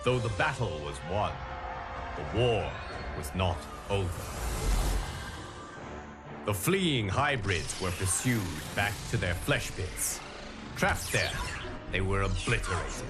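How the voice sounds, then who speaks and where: An actor playing a solemn guardian of timelines, narrating a video game story in a formal, measured, dramatic manner. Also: male voice, sits low, sing-song, full character voice. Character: solemn male guardian of timelines addressing his champions. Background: music.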